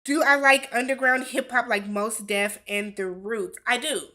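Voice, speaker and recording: A woman's voice: a little high, steady tone, unhurried, bright, forceful tone. A woman in her forties from Wisconsin, hosting a casual livestream Q&A, chatting loosely, laughing often and reading viewer comments aloud. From Milwaukee, Wisconsin. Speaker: YouTube host.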